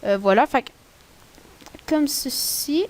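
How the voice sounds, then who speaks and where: A woman with a Québécois French accent, rambling casually through a screen-recorded software tutorial in a chatty, slangy way. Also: sits high, lively intonation, slow. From Quebec. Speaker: tutorial maker.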